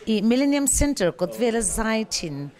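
A woman's voice: on the high side, big pitch swings, moderate pace, a little mellow. A middle-aged woman, hosting a talent show and interviewing a contestant on a handheld microphone. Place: indoor backstage interview area. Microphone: shared handheld microphone.

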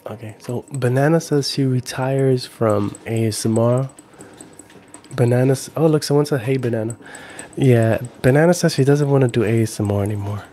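A man's voice: medium pitch, moderate pace, mellow. A man in his forties chatting casually and playfully on a livestream, often repeating himself, close to the mic. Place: small room at home. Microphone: handheld-style recorder on a stand, close up.

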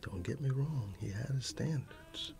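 A middle-aged man doing an ASMR roleplay, whispering and soft-spoken, reminiscing unhurriedly with quiet chuckles. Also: mid-range voice, lively intonation, unhurried, vocal fry. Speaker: ASMR creator. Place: dim indoor room. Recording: soft music in the background. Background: music.

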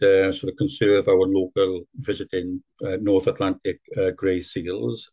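A man's voice: low-pitched, dark tone. A man with a Welsh accent giving a presentation on a video call, hesitant with many fillers and restarts. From South Wales. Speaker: seal conservation volunteer presenter. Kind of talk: online meeting presentation.

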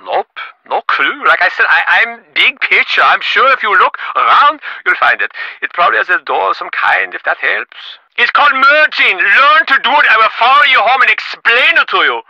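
A man's voice: high voice, big pitch swings, normal speed, vocal fry, hardly any pauses, sharp tone, dry recording, muffled recording, heavily acted. A man voicing a smug, impatient rich businessman in a video game, glib and self-important, with stammering, sarcastic delivery. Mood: breezy, dismissive, then angry yelling. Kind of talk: acted game dialogue.

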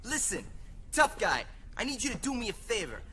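A high-pitched, sleazy mob capo. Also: male voice, sing-song, normal speed, bright, forceful tone, slight background noise, exaggerated voice. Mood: bossy wheedling. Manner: smug, wheedling, mocking. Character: sleazy, cocky, bossy mafia capo. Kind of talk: video game cutscene acting.

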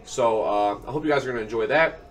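A man's voice: medium pitch, quick pace. A young man in his twenties, slightly nasal from a cold, giving upbeat casual commentary on his own game from a small room at home. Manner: upbeat, explanatory, many fillers. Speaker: gaming coach and YouTuber.

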